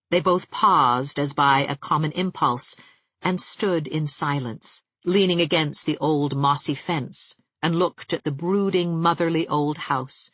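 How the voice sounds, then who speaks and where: A woman narrating a classic novel as an audiobook, in a measured, lyrical reading. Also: deep voice, no room sound. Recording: tinny phone-like sound.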